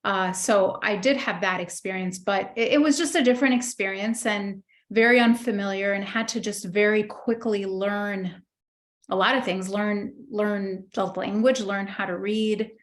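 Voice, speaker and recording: A woman's voice: medium pitch, fairly even intonation, normal speed. Egyptian-born woman telling her story. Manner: thoughtful, many fillers and restarts, warm recollection. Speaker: interview guest. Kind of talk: reflective personal storytelling.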